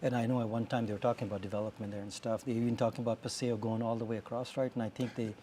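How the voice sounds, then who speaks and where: A man's voice: medium pitch, level tone, racing, mellow, a little hiss or hum. A man from New Mexico hosting an interview, asking leading questions and often tagging them with "right?". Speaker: interviewer host. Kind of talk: casual interview.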